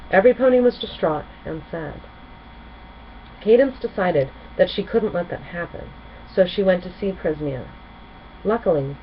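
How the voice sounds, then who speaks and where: A woman with a slightly low voice tells a children's story in an expressive read-aloud style. The recording is dull, with noticeable noise behind her. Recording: muffled, with clear background noise.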